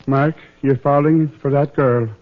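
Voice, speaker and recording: An actor playing a dry, teasing American police colleague in an old radio drama, probing with pointed pauses. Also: male voice, medium pitch, steady tone, lightly acted. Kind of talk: mid-century radio drama acting. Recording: tinny, narrow old radio recording.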